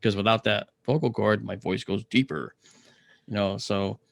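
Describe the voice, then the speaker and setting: A middle-aged man with a deep, gravelly voice from a paralyzed vocal cord, telling a story in an interview, clearing his throat often and using many fillers. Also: steady tone, normal speed, dry recording. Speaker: interview guest, astronomer.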